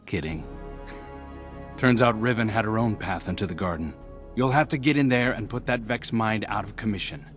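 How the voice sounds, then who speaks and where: A man performs a small floating robot companion with a friendly, wry delivery. His voice sits a little low, and he talks briskly and helpfully. Loud game music plays underneath. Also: lightly acted. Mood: wry encouragement. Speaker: video game character. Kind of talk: game cutscene voice acting.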